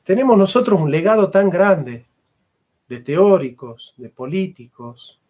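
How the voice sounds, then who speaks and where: A man's voice: high-pitched, very animated, a little slow, darkish tone. A middle-aged man, a philosopher and history teacher giving a fervent lecture and repeating phrases for emphasis. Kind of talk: impassioned lecture.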